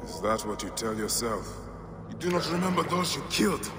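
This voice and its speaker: A man's voice: medium pitch, expressive, a little fast, music in the background, lightly acted. Stern echoing Egyptian warrior played by a man. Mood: cold anger. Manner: solemn, intense. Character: vengeful ancient Egyptian warrior, stern, brooding. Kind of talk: dramatic game cutscene acting. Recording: roomy echoing scene with noise behind.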